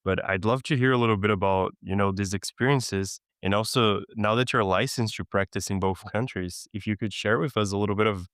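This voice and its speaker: A man's voice: lowish voice, fairly quick, few pauses, fairly bright tone, no echo, clean studio sound. A man hosting an interview podcast, eagerly introducing his guest and asking questions. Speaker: podcast host.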